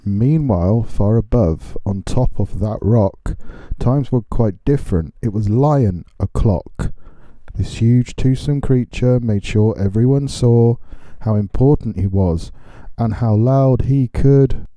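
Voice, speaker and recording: A man narrating a children's picture book expressively, in a measured, dramatic way with deliberate pauses. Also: mid-range voice, mellow, no room sound. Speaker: storyteller reading a picture book.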